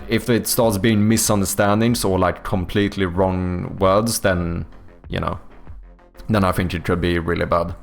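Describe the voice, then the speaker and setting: Swedish man chatting on a livestream. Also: fairly low pitch. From Sweden. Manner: thinks aloud, many fillers and restarts. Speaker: game developer streamer. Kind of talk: casual livestream chat answering viewers.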